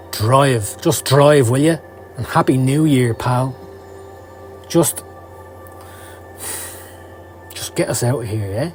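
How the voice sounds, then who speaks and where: A man's voice: medium pitch, darkish tone, lightly acted. A man voicing a down-at-heel male occult hustler in a motion comic, slangy, profane and sardonic. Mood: frantic, angry. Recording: music under the voice.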